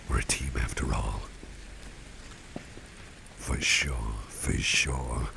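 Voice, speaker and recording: A man playing a kindly, genteel boss in an ASMR roleplay, speaking in an unhurried, breathy half-whisper with a gravelly depth. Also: rumbling low, noisy background, heavily acted. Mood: caring, encouraging. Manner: genteel, unhurried, repeats phrases for emphasis. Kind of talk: ASMR roleplay, soft-spoken personal attention.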